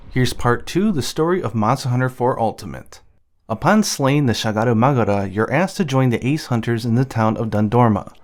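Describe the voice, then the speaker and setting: A man's voice: mid-range voice, a little mellow. A man giving scripted, explanatory narration of a video game's story. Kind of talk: scripted explanatory narration over game cutscenes. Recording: music behind the voice. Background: game music.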